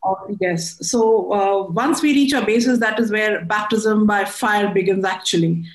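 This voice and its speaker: A woman's voice: mid-range voice, unhurried. Indian woman interviewed in an echoey room. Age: middle-aged. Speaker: interview guest, air force air traffic control officer. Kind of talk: conversational interview answers.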